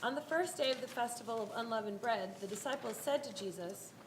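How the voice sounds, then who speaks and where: A woman's voice: on the high side, brisk. A woman narrating a scripted scripture story as part of a reader's theater performance. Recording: echoey room, faint background noise.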